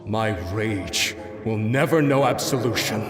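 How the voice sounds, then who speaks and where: Guy doing a grim old-soldier type in a game, slow and low, big echo. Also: close to an everyday voice. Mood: stern. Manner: solemn, measured, stern. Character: grim veteran warrior, vengeful and proud. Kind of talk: video game voice acting. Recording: echoey reverb with loud music.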